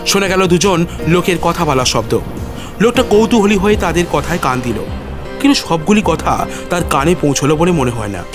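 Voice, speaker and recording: High-voiced male story narrator over music. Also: lively intonation, a little fast. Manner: literary, suspenseful. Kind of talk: dramatic scripted story narration. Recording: music behind the voice.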